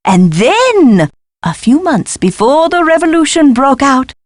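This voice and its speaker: An eccentric female scholar from a video game. She is fussy and theatrical, with a highish voice that swings widely. Every phrase is flowery and emphatic, recorded very dry. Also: big pitch swings, measured pace, dry recording. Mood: earnest, dramatic.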